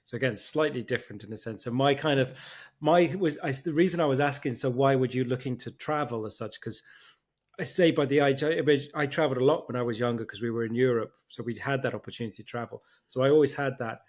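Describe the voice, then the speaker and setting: Middle-aged man from Germany, podcast host, mid pitch, chatty with many restarts. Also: quick pace. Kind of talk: casual interview conversation.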